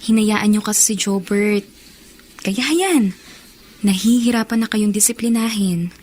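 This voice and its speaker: A woman's voice: mid-range voice, a little slow, a little mellow, lightly acted. An actress playing a frank, sympathetic young girlfriend, chiding yet reassuring in radio drama style. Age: young adult. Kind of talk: Filipino radio drama acting.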